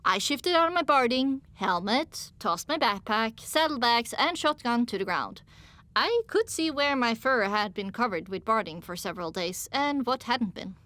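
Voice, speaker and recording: A woman's voice: fairly high pitch, expressive, moderate pace, sharp tone, dry recording. A woman reading dramatic first-person audiobook narration, with music playing underneath.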